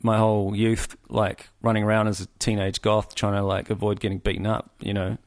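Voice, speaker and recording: A man in his forties from New Zealand, a musician guest talking casually, halting with many restarts and fillers. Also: lowish voice, little pitch movement. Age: 40s. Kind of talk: casual conversation.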